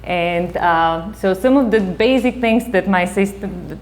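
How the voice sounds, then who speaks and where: A woman in her forties with a Bulgarian accent, a psychotherapist giving a casual public talk, animated and laughing often. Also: lowish voice, a little hiss or hum. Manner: animated, laughs often, quick bursts of excitement.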